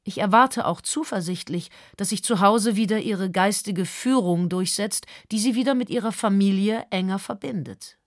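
A woman's voice: medium pitch, normal speed, hardly any pauses, no room sound, close to an everyday voice. Woman doing a strict psychiatrist, firm and lecturing, dry close sound. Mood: stern. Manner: firm, admonishing. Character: stern female psychiatrist writing to a patient.

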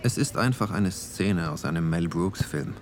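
A man's voice: sits low, normal speed, a little hiss or hum, close to an everyday voice. A man delivering scripted narration in a radio play. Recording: faint music under the voice. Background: faint background music.

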